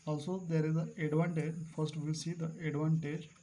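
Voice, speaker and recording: A male teacher explaining a device to students, repeating key terms slowly and clearing his throat now and then. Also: a little high, level tone, dark tone. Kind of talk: classroom lecture at a board.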